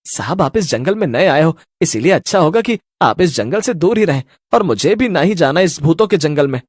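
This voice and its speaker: A man's voice: a little high, animated, brisk, no echo, studio quality. Man playing an anxious, pleading constable. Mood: nervous, wary. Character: timid, fearful male police constable, a pleading family man. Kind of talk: Hindi horror cartoon voice acting.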